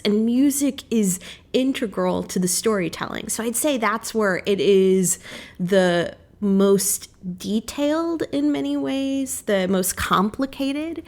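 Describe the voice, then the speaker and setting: A woman speaking as a theater director and interview guest, measured and careful in her phrasing. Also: a little high. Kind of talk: thoughtful radio interview.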